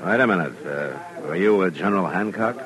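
An actor playing a steady, world-weary frontier lawman in an old-time radio western, plainspoken and unhurried, with an American accent. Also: sits low, crowd noise. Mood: calm, sociable. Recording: old radio drama recording.

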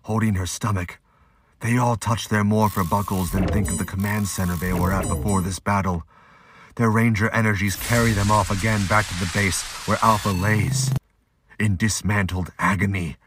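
A man's voice: on the low side, steady tone, normal speed, over a noisy crowd. A man narrating an action audio drama in a dramatic scripted style.

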